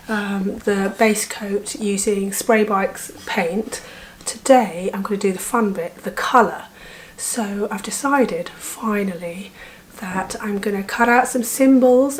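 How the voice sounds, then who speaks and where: A woman with a hoarse, half-lost voice, chatting casually in a video diary about painting her bike, with frequent ums. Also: mid-range voice, slight background noise, low-quality recording. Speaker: home bike painter vlogging.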